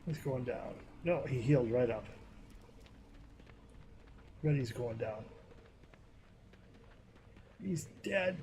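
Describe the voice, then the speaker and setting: A man chatting casually while live-streaming a game, rambling with many fillers, with a somewhat high, harsh voice. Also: animated, takes it slowly, long pauses, mellow, a little hiss or hum. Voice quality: higher, harsher voice.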